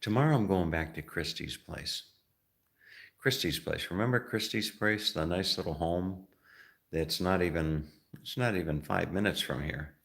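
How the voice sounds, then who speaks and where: Very deep-voiced rambling American vlogger. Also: male voice, creaky, fairly bright tone. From United States. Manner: rambling, halting, many fillers and restarts. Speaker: travel vlogger. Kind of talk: casual vlog talk.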